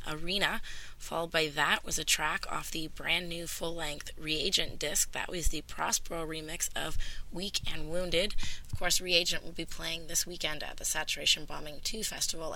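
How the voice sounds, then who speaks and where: A woman radio host whose voice is hoarse and raspy, with a gravelly edge and a bright, sharp tone. She talks through a track list in an easy, chatty manner, with a faint hiss in the background. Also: lowish voice, rough recording. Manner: relaxed, chatty track rundown. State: hoarse, partly lost voice. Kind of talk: casual radio DJ talk.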